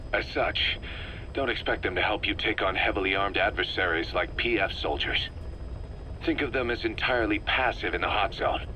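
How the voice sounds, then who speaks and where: A stern military commander in a video game, with a lowish, hard-edged voice. He briefs in clipped, emphatic phrases with a suspicious temper, over slight noise. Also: moderate pace, bright, forceful tone, rough recording. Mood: firm, instructive.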